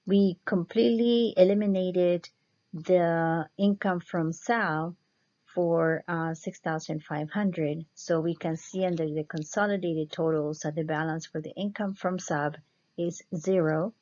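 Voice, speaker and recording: A woman instructor explaining slides step by step in a measured lecture style. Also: on the low side, fairly even intonation, mellow. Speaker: accounting instructor. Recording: dull, muffled lo-fi voice-over.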